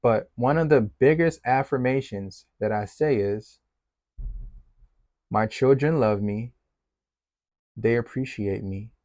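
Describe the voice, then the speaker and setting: A man with a Jamaican accent, giving a casual motivational talk as a fatherhood coach in a relaxed, conversational way. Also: medium pitch, dry recording, clean studio sound. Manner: relaxed, conversational, many fillers, laughs easily.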